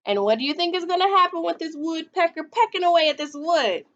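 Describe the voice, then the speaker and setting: A woman's voice: very high-pitched, lively intonation, fast talker, fairly bright tone, highs cut off. A young woman reading a picture book aloud like a teacher, dramatically stretching out words and putting questions to children. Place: small indoor playroom.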